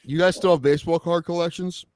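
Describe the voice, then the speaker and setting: A man's voice: fairly high pitch, steady tone, fast talker, darkish tone. A man co-hosting a podcast in casual chat, rambling and swearing, a little sniffly. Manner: profane, rambling, worried. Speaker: podcast co-host.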